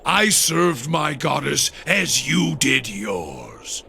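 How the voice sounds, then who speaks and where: An actor playing a bitter, defiant male veteran of a goddess's war in a video game, with a biting, expressive delivery. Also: mid-range voice, drawn-out words, gravelly, slight background noise, exaggerated voice. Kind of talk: dramatic video game dialogue.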